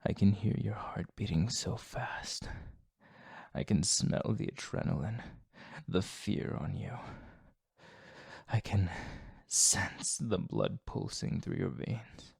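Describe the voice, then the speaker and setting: A man acts out a tormented vampire holding back his bloodlust in an intimate ASMR roleplay. His voice is low and gravelly, and he speaks very slowly. His words are formal, courteous and apologetic, delivered softly. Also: no room sound, studio quality, full character voice. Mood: tempted, strained.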